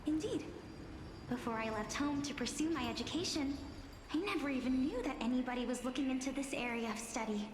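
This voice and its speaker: An actress playing a poised young woman scholar in a video game, speaking in a measured, formal, theatrical manner. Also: sits high, lightly acted. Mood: playful, curious. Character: poised young woman scholar, playful yet solemnly resigned. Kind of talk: scripted dramatic game dialogue. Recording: echoey long reverb, music behind.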